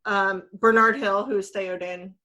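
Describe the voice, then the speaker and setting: A woman talks in an average-pitched, conversational voice as a podcast co-host. She is informal and plainly enthusiastic, chatting as a fan about games and films. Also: steady tone, unhurried, crowd noise.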